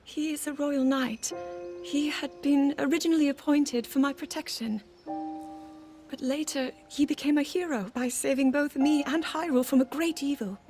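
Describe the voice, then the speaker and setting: An actress playing an earnest, devoted young princess in a video game cutscene, with careful, formal phrasing. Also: female voice, high voice, moderate pace. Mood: earnest, admiring. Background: music.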